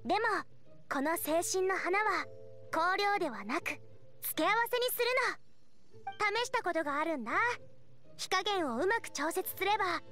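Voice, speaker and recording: Teen girl cook, anime game: extremely high, bright, earnest, confident, soft music underneath. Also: lively intonation, moderate pace. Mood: explaining. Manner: earnest, girlish, plain-spoken. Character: spirited teenage girl chef, earnest and confident. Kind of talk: anime-style game acting.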